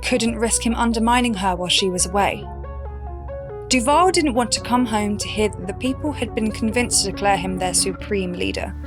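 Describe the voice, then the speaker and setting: An actress narrating a sci-fi audio drama as a composed archivist, in a documentary-like manner. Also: female voice, medium pitch, moderate pace, natural voice. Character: composed female archivist presenting expedition records. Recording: prominent music under the voice. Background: music.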